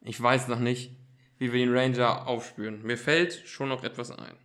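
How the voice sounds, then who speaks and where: A man's voice: medium pitch, flat delivery, moderate pace, fairly bright tone, natural voice. A man playing an eager, self-assured boy detective who takes charge, in an amateur German radio play. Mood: businesslike.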